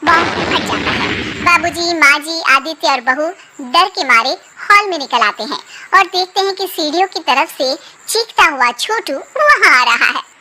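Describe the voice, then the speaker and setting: Female cartoon narrator: extremely high, sharp, bright voice for scripted comic storytelling. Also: expressive, normal speed, poor audio.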